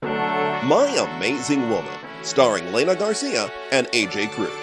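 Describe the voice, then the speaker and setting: A man's voice: medium pitch, huge pitch swings, measured pace, loud music behind the voice. A man announcing a drama show's opening in a theatrical intro style.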